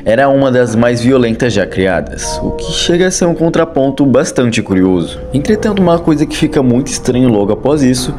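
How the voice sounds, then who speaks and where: A man's voice: medium pitch, sing-song, fairly quick, hardly any pauses. A man with a Brazilian accent giving casual, chatty scripted commentary as the host of an online video. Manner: informal, chatty storytelling with colloquial turns. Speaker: YouTube host and narrator. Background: background music.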